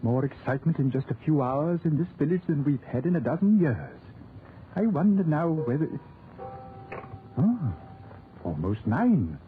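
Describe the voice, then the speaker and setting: A man's voice: a little high, very animated, faint music behind. An actor playing a sanctimonious, musing village clergyman in a 1940s radio drama. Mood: chatty musing. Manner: pious, sighing, drawn-out delivery. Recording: tinny, hissy 1940s radio recording.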